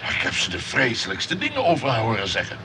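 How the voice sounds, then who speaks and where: A man's voice: on the low side, wildly swooping pitch, quick pace. Flustered gullible man, swooping intonation. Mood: confiding, gullible. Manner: flustered, muttering repeated ohs and yeses. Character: gullible, hesitant middle-aged bachelor shopkeeper, easily flustered. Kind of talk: Dutch comic radio play acting. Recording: noisy old radio play recording.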